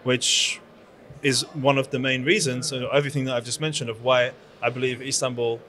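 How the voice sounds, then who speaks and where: A man in his thirties with a London-bred British accent, speaking with eager, chatty energy, full of fillers and restarts. He talks into a handheld mic at a conference booth. His voice sits at an ordinary middle pitch. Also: level tone, fairly quick, fairly bright tone. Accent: British English. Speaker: conference founder and PR agency owner. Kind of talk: conference interview. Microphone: handheld microphone.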